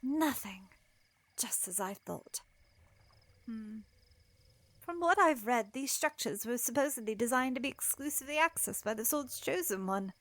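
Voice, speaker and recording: A woman's voice: on the high side, expressive, unhurried, fairly bright tone. A woman playing a proud, curious young princess in an audio drama, theatrically musing and exclaiming to herself. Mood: disappointed, determined.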